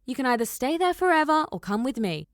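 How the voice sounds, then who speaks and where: A woman's voice: sits high, sing-song, quick pace, no room sound, studio quality, pitched above the performer's own voice. A woman voicing a confident, sarcastic stranded girl pilot in an audiobook, with a breezy, slangy, assertive manner. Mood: urgent, bossy. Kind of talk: audiobook character dialogue.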